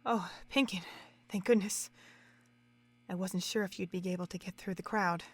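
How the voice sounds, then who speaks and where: A woman's voice: lowish voice, steady tone, moderate pace, close to an everyday voice. A woman playing a self-assured, zealous senior scientist mare in an audio drama, lecturing with condescension. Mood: relieved.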